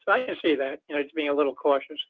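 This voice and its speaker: Tinny phone line, quick higher-voiced male caller. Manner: rambling, folksy, leans on filler phrases. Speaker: caller to a radio show. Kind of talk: casual political phone-in chat. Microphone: telephone.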